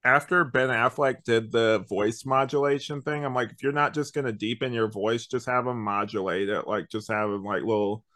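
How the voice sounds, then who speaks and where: A man's voice: mid-range voice, steady tone, normal speed, hardly any pauses, bright, forceful tone. A man hosting a podcast, talking through a review in a casual, rambling, conversational way. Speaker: podcast host. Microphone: online video call recording.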